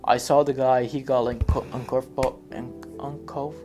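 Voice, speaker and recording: A man from Brazil, an exchange student talking haltingly in a documentary interview. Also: mid-range voice, flat delivery, music in the background. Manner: hesitant, many fillers and restarts.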